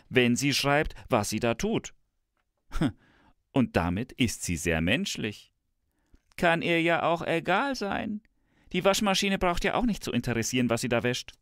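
A man playing a smug, tech-enthused professor in a scripted satirical dialogue, pompous and theatrical with drawn-out emphasis. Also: medium pitch, fairly bright tone, no room sound, clean studio sound. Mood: teasing, smug. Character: smug, tech-enthused male professor, grandly visionary and teasing.

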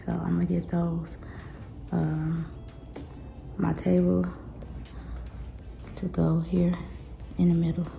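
A woman in her thirties talks slowly and loosely, her voice raspy with a gravelly edge. She rambles in a relaxed way and now and then drops to a quiet aside. She is filmed at home on a phone, with music playing clearly beneath her. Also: fairly low pitch, roomy sound. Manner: chatty, laid-back, drifts into soft asides. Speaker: home vlogger. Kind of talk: casual home tour vlog. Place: living room in a house. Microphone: phone camera held or set on a table. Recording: background music under the voice.

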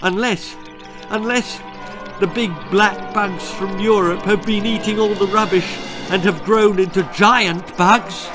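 A naive, careless storybook girl voiced by a man in near his own voice. She is sure of herself and unhurried in her speech. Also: high voice, fairly even intonation, over music, pitched above the performer's own voice. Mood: terrified. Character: huge careless young girl, polite, naive, self-assured.

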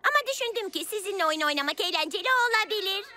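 A woman voicing a bossy, vain young princess in a children's cartoon dub, with an exaggerated, expressive delivery. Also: very high voice, very fast, slight background noise, full character voice. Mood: haughty, reluctant.

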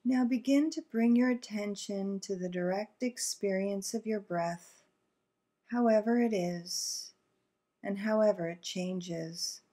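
A woman leading a guided visualization meditation in a soothing, gentle, deliberate manner with long pauses. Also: medium pitch.

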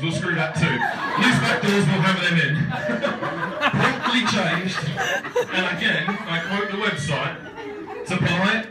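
A man in his thirties giving a teasing best man speech at a wedding, with a dry joking delivery. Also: high voice. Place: large wooden barn wedding hall. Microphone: phone camera far back in crowd. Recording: echoey hall from far off, laughing crowd.

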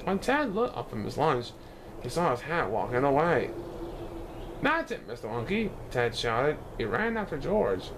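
A man reads a children's storybook aloud with a lively, swinging intonation. His pitch is medium and he stumbles on the odd word. The recording has clear background noise. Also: normal speed. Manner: animated storytelling, occasional stumbles over words. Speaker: storybook reader.

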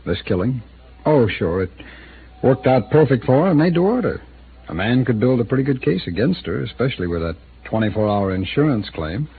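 A man's voice: medium pitch, big pitch swings, brisk, breathy edge, full character voice. An actor in his thirties playing an easygoing, slightly evasive male city attorney in an old radio drama. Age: 30s. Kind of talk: 1950s radio drama acting. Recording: tinny, hissy old radio broadcast.

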